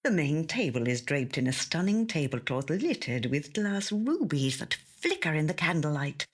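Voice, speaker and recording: A woman reading a first-person literary short story in a measured, gentle storytelling manner. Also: deep voice, breathy edge. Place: audio studio.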